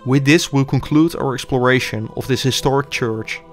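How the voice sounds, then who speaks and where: A young man giving measured, scripted documentary narration as an urban explorer. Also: medium pitch, over music. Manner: measured, explanatory delivery.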